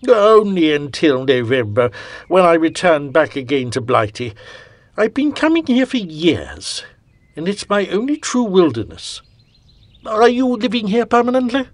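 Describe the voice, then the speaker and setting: A man voicing a genial elderly English gentleman who speaks with old-fashioned, courtly politeness. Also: high-pitched, big pitch swings, unhurried, no room sound, pitched above the performer's own voice.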